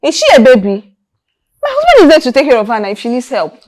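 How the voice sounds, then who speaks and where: Very high, animated big sister, scolding then begging. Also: female voice, quick pace. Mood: arguing. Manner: scolding, emotional, pleading. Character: fierce, loving older sister, quick to scold. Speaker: older sister and wife.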